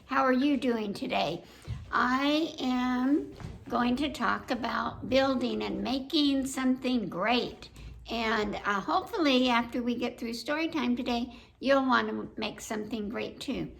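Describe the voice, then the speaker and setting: A woman leads a story time for children in a slightly high voice with some creak in it. She takes her time, reading aloud and chatting to her young listeners with questions. There is faint noise on the recording. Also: measured pace. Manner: addresses children directly with questions.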